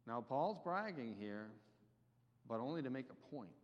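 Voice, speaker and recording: A man preaches with emphatic swells and drops in loudness. His voice rings with a long reverb in a church hall, and the recording sounds dull and muffled. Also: mid-range voice, sing-song, normal speed. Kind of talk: sermon.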